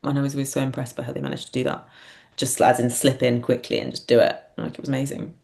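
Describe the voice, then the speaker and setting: A woman's voice: a bass voice, little pitch movement, fast, gravelly, darkish tone. A woman in a casual interview, speaking informally and hesitantly as a guest, often dropping in "like". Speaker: interview guest, theatre writer and performer.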